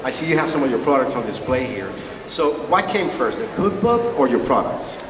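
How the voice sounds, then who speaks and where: A man's voice: mid-range voice, sing-song, a little fast. Man hosting on stage, crowd chatter behind. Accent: non-native English. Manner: friendly, brief prompts and thanks. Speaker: event host, interviewer. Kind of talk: hosting onstage interview. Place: large expo hall. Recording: echoey hall, mic far off, crowd noise.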